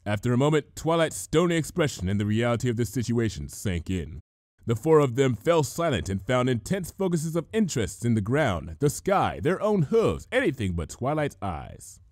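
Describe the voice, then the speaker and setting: Male storybook narrator. Animated pitch, mid-range voice, very dry sound. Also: moderate pace, dry recording. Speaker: fan fiction reader.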